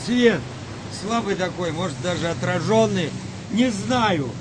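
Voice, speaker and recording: An actor playing a weary, freezing wartime sailor in a radio play, talking colloquially and grumbling. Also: male voice, high-pitched, animated, measured pace, background noise. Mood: uneasy, subdued. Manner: colloquial, grumbling about the cold. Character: weary freezing wartime sailor ending his night watch.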